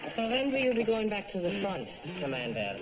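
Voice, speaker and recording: An actor playing a bumbling undercover German spy with a German accent in a television sitcom, evasive and jokey. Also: male voice, high-pitched, huge pitch swings, a little fast, very noisy, full character voice. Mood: sly, jokey.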